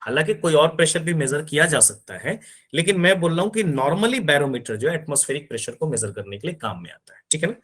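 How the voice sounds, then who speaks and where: A man's voice: a little high, sing-song, quick pace, a bit bright. A man teaching physics in an online class, explaining step by step and often checking that his students understand.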